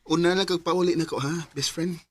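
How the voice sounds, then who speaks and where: A man's voice: on the high side, fairly even intonation, fairly quick, close to an everyday voice. An actor playing an easygoing, affectionate and oblivious young man in a radio drama. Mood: breezy, affectionate.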